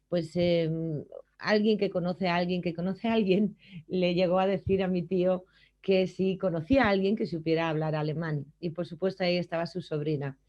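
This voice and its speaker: A middle-aged woman from Germany telling her personal work story in an earnest, reflective way, often pausing to hesitate. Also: fairly low pitch, darkish tone, highs cut off. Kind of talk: personal testimony.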